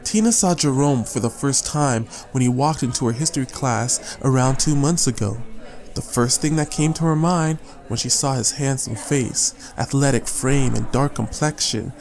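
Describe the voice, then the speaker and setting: A man reading scripted audiobook narration as a storyteller, measured and with deliberate pauses. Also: mid-range voice, a little mellow. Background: guitar music.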